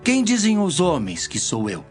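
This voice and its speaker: Guy playing a solemn bible teacher, grave n declamatory, kinda high male voice, music clearly behind. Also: huge pitch swings, moderate pace. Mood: solemn, questioning. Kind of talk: dramatized audio Bible acting.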